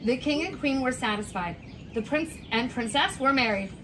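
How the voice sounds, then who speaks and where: Woman teacher, lively and emphatic read-aloud, highish pitch, faint noise behind. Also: normal speed, rough recording. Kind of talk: animated children's story read-aloud with banter.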